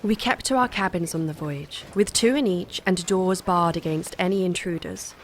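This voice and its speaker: A woman's voice: on the low side, moderate pace, close to an everyday voice. A woman playing a haunted yet resolute young wife, composed and intense, in a horror audio drama. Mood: somber narration. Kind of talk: audio drama acting and narration. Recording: audible background noise.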